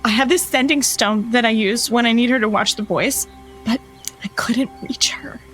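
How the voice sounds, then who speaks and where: A woman playing a sweet, distraught working mother in an audio drama, speaking in an earnest, halting, fretful way. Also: fairly high pitch, fairly bright tone, soft background music, lightly acted. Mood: upset, explaining. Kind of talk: immersive audio drama acting.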